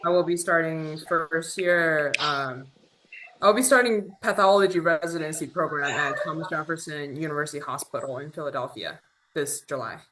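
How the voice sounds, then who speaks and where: A woman's voice: low voice, normal speed, background noise. A young woman from China, an incoming pathology resident, explaining her path in a chatty way with fillers on a video call. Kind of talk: online panel conversation.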